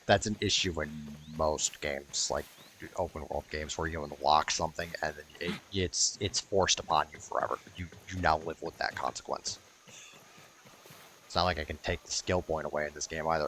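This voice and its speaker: A low, bright-toned man talks over a video game he is playing. He rambles casually, swears freely and has a wry, exasperated manner. Game sound and faint noise sit behind him. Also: steady tone, moderate pace. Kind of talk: casual gameplay commentary.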